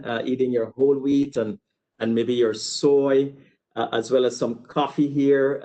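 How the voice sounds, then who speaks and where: A man's voice: mid-range voice, warm tone, dull audio. Explanatory male academic lecturer from Guyana. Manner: explanatory, repeats words and digresses. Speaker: university researcher giving a webinar. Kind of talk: informal academic lecture with slides.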